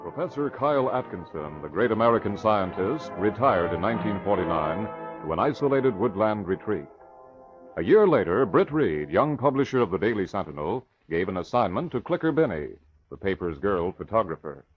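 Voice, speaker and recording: A man's voice: lowish voice, sing-song, normal speed. A man announcing and narrating an old radio adventure serial in a dramatic, emphatic, scripted style. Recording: dull vintage radio recording with music.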